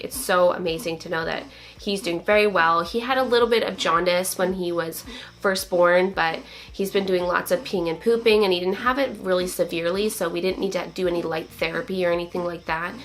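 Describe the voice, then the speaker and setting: A young woman with a slightly raspy voice, still getting over a cold. She talks in an open, chatty way to camera, stringing thoughts together with likes and so's at a mid pitch. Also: fairly bright tone. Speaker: vlogger, new mother. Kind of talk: casual vlog chat.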